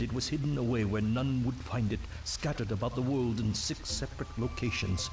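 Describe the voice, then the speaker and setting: Game narrator: low male voice, solemn and portentous, telling dark ancient legends over clear background music. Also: poor audio. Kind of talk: dramatic scripted storytelling narration.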